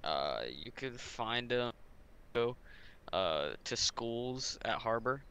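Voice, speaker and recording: Bright, forceful man in voice chat. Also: medium pitch, lively intonation, slow, clear, resonant tone. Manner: slangy, offhand, profane. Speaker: fellow online player. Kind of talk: casual in-game voice chat.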